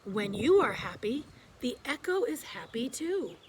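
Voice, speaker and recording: Lady doing a wise old grandpa beaver for kids, unhurried, calm, faint noise behind. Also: on the high side. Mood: calm, reassuring. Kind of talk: children's storybook read-aloud.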